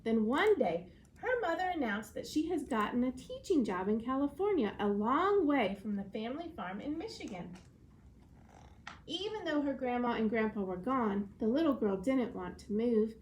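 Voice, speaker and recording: A woman schoolteacher giving an expressive storybook read-aloud lesson in a clear, explanatory classroom manner. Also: on the high side, moderate pace, a little hiss or hum, poor audio.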